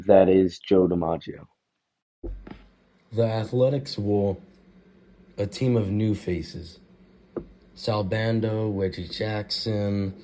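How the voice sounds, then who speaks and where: A man with a raspy, sick-sounding voice narrating baseball history casually, loose and rambling, correcting himself as he goes. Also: fairly low pitch, warm tone. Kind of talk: casual narration over game footage.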